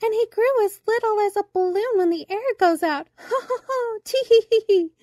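A woman's voice: very high-pitched, sing-song, takes it slowly, warm tone, no echo, clean studio sound, pitched above the performer's own voice, heavily acted. A woman voicing teasing little daisy flowers, giggly and tittering, in a children's storybook. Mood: giggling, teasing.

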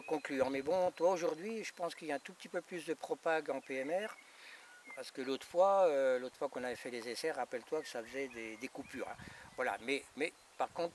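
A man in his fifties talking casually and repetitively as a radio operator, recorded outdoors by a camera a short way off. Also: medium pitch. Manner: repetitive, colloquial. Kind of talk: casual two-way radio chat. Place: outdoors by a gravel drive in a rural garden. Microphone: camera a metre or two away. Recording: noisy outdoor camera audio with wind.

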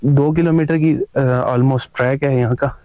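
A man's voice: medium pitch, steady tone, unhurried, dark tone, dry recording, poor audio. A man vlogging his mountain trip, chatty and enthusiastic, talking to viewers on a trail outdoors. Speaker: travel vlogger. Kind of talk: casual travel vlog narration.

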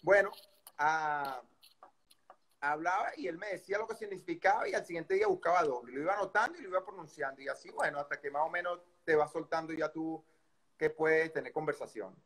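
A middle-aged man with a Venezuelan Spanish accent, a baseball coach as guest, recounting his career in a relaxed, rambling way over a video call. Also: fairly high pitch, a little fast, husky. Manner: relaxed storytelling with many fillers and restarts. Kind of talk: casual interview storytelling. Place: indoor room by a window. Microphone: video call webcam.

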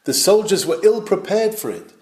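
A man reading a nineteenth-century sermon aloud, measured and emphatic, rising on his questions. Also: high voice, big pitch swings, a little mellow. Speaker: sermon reader. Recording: roomy echo.

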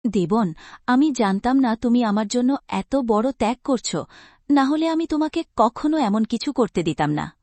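A woman voicing a gentle, grateful talking bird queen in a cartoon story, tender and concerned in manner. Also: a little high, lively intonation, moderate pace, no room sound. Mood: sorrowful. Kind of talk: dramatized cartoon story dialogue.

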